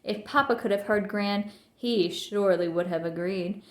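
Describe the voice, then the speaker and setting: A woman's voice: mid-range voice, moderate pace, roomy sound. A woman narrating a children's storybook as a scripted read-aloud.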